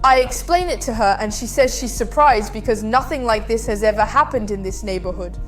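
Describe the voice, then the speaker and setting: Chatty woman recounting, higher voice. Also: fairly quick, faint music behind, rough recording. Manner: animated, conversational, frequent fillers. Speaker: guest. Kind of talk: casual storytelling interview.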